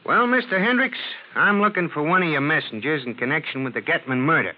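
A man's voice: a little high, fast talker, close to an everyday voice. A man playing a blunt, unrefined police sergeant with slangy, plain talk in a 1940s radio drama. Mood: blunt, eager. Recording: old muffled radio drama recording.

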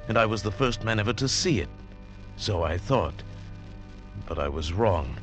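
An actor playing a mountaineer who recounts his ordeal in dramatic, deliberate phrases, in the style of mid-century radio drama. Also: lowish voice, close to an everyday voice. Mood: hushed wonder. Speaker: narrator and lead actor. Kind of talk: 1940s-50s radio drama narration. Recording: vintage radio recording with music behind.

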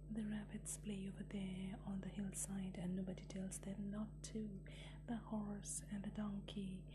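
A woman's voice: mid-range voice, noisy background, lightly acted. A woman whispering the lines of a restless young male fox cub in a storybook reading. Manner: whispered, cross and boastful.

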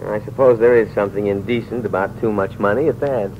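A man's voice: fairly low pitch, steady tone, quick pace, natural voice. A man acting a sardonic, fatalistic heir who taunts and goads in theatrical 1940s radio drama style. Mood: amused, matter-of-fact. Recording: muffled old radio recording, faint noise.

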